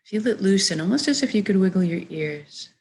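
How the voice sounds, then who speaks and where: A woman leading a guided meditation, speaking in a gentle, measured, soft way as she gives soothing instructions. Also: medium pitch, expressive, a little hiss or hum.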